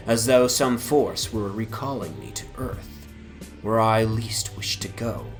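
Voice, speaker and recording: A man giving a solemn, deliberate dramatic narration of a literary horror story over an ambient soundscape. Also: on the low side, low-quality recording.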